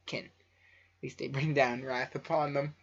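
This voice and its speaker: Guy with a gravelly voice reading stuff out casually, trips on words, muffled lo-fi sound. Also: mid-range voice, level tone, moderate pace. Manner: stumbling over words, breaking off for offhand asides. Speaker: amateur fan fiction reader and video creator. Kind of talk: casual story reading with joking asides. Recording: dull lo-fi home recording.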